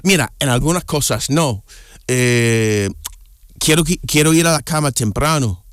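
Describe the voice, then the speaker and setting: A man from the United States, a native English speaker, chatting in Spanish with an American accent as an interview guest, halting and restarting words. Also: no echo, studio quality. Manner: halting, restarts words, animated pitch swings. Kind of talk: casual interview chat.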